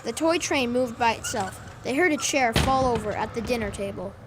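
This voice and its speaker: A woman's voice: a little high, lively intonation, moderate pace, noisy background, lightly acted. A young girl narrating a radio drama from a script in dramatic short phrases. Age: child, about 10.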